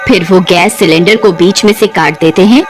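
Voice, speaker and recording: A woman's voice: fairly low pitch, moderate pace, faint music behind. A woman reading scripted narration for a cartoon ghost story.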